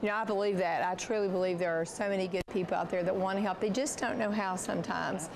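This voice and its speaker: Gravelly woman interviewer, hissy recording. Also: medium pitch, fairly even intonation, quick pace. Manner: searching, filler-heavy phrasing. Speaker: interviewer, state official. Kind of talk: interview questions.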